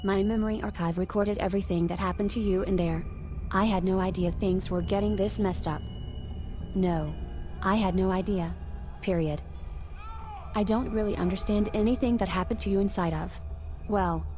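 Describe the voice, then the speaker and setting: A woman playing an emotional, apologetic android companion in a video game, speaking in a halting, casual, confiding way. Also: medium pitch, lightly acted. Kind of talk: scripted video game dialogue. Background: music.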